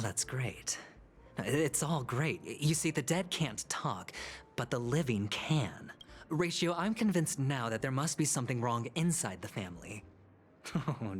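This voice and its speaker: Wry, theatrical gambler over music. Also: male voice, mid-range voice, a bit bright. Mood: bold, scheming. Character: smooth-talking, cocky, scheming male gambler, theatrical and wry. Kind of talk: video game voice acting. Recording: background music behind dialogue. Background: game music.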